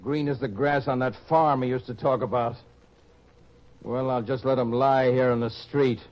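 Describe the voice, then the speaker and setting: An actor playing a sly, freeloading gambler, a smug false friend who talks in a condescending, coaxing way in old-time radio drama. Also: male voice, mid-range voice, steady tone, moderate pace, lightly acted. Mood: condescending, mocking. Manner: smooth-talking, condescending, mocking. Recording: dull lo-fi radio-style recording.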